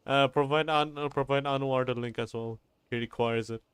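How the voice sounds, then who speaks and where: A man's voice: medium pitch, steady tone, moderate pace, smooth, no room sound. Casual male game streamer, bantering. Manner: bantering, swears freely. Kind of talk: casual live-stream commentary. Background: game music.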